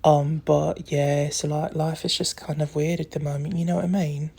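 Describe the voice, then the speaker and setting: A young adult content creator talks in a put-on British accent. The voice is husky and creaky, sitting at a level, unhurried register and often trailing into breath. The delivery is soft, candid and meandering, like a casual confessional recorded on a phone. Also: mid-range voice, little pitch movement. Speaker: social media content creator. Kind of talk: casual rambling confessional chat to followers.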